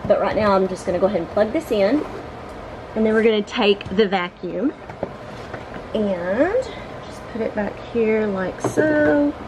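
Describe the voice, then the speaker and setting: A middle-aged woman, casually narrating a home vlog in an informal, halting, think-aloud way. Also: mid-range voice, noisy background. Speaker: home vlogger. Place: living room of a home, indoors. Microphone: camera mic on a tripod nearby.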